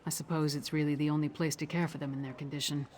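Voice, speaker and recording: A woman playing a gruff, wry warrior companion in a fantasy video game, speaking bluntly. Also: low voice, little pitch movement, fast talker, a little mellow, natural voice. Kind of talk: video game character acting.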